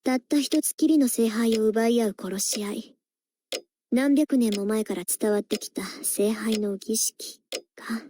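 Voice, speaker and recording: Woman voicing a cocky teen mage girl from an anime game, kinda high voice, declaims stuff, noise behind her. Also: fairly quick, dark tone, natural voice. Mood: serious. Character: proud, confident teenage girl mage. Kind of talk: anime visual novel voice acting.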